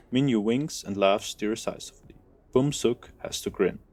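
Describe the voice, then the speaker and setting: A man's voice: fairly low pitch, unhurried, a little mellow. A man narrating an audio drama from a script, telling a story in the present tense.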